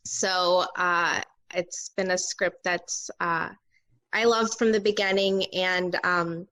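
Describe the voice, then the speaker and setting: A woman's voice: fairly low pitch, steady tone, slow, sharp tone. A woman who works as an actor, chatting casually on a remote call. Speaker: actor on a virtual table read. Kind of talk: casual chat.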